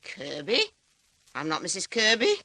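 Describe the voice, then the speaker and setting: A stern old farmhouse wife from a vintage radio mystery. Her voice is lowish and forceful, swinging widely as she challenges people. She sounds brusque, scornful and indignant. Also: big pitch swings, normal speed, no room sound, heavily acted. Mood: indignant, suspicious. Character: stern, indignant older farm woman, suspicious and scornful. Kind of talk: old-time radio drama acting. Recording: vintage radio drama recording.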